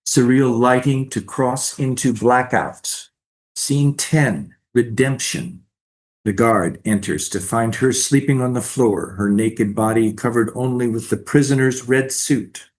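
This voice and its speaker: A man's voice: mid-range voice, unhurried, darkish tone. A man reading scripted stage directions in a plain, matter-of-fact way. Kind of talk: scripted narration.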